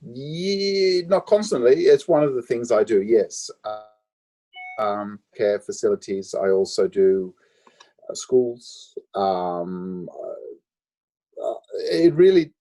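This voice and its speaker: A man from Australia answering interview questions about his life and work, thoughtful and full of pauses and ums. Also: mid-range voice, warm tone, rough recording. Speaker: guest architect.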